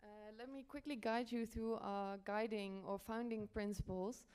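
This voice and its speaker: A woman's voice: on the high side, fairly even intonation, normal speed, darkish tone, clear, resonant tone. A woman from the Netherlands giving a conference presentation as a panel speaker, a little hesitant and stopping to reword as she goes. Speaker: panel speaker, journalism editor.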